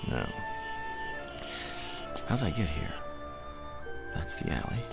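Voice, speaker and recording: A kindly old street Santa in a radio drama. His low voice rises and falls freely, gentle, folksy and a little tired, over a clear bed of music. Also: huge pitch swings, slow, full character voice. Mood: wry, puzzled. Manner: gentle, avuncular, folksy, musing. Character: kindly, weary old street Santa Claus, a big-hearted man.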